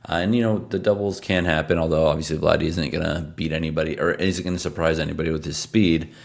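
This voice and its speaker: A tired, hoarse man hosting a sports picks show, talking casually and rattling off stats from his desk at home. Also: extremely low pitch, fairly even intonation, fast talker. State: tired from travel. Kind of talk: casual sports betting analysis.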